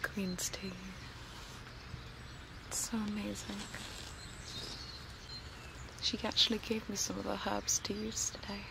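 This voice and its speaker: A young woman acts out a serene cult devotee in a dark ASMR roleplay. She speaks very slowly and softly, often whispering, with little rise and fall. She is outdoors, close to the mic, with birdsong and clear nature noise around her. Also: medium pitch, little pitch movement. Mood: serene, reverent. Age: 20s. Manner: hushed, slow, soothing, often whispering. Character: serene young female cult member preparing a sacrifice. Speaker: ASMR performer. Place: outdoors among trees. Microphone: close binaural microphone.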